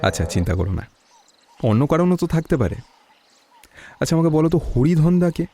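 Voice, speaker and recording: An actor playing a calm young detective in a Bengali audio story, asking questions in a measured, inquisitive way. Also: male voice, a little high, no room sound. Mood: concerned, reassuring.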